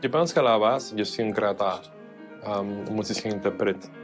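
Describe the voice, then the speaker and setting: A man's voice: on the low side, moderate pace, music in the background. A man from Cuba, a jazz pianist, speaking French as an in an interview, hesitant with many fillers and restarts. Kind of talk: interview testimony.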